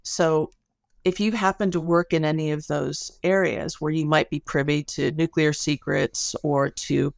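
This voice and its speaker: Woman, gravelly vocal fry, close dry sound, academic interview guest, conversational. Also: low-pitched, fairly even intonation, measured pace, no echo. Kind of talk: conversational interview, explaining research.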